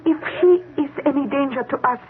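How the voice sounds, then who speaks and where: A woman's voice: high-pitched, fairly quick, a little hiss or hum, lightly acted. An actress playing a scheming mistress who informs on her lover, in a 1970s radio drama, speaking urgently. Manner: urgent, controlling, pressing. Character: scheming younger woman, a scientist's mistress and informer. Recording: narrow, phone-like old radio broadcast.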